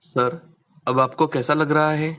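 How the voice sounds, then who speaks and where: A woman's voice: low-pitched, fairly even intonation, slow, fairly bright tone, faint music behind, voice pushed down. A woman voicing a polite, earnest and humble young village man in a moral storybook tale. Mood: concerned, grateful. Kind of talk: moral storybook character dialogue.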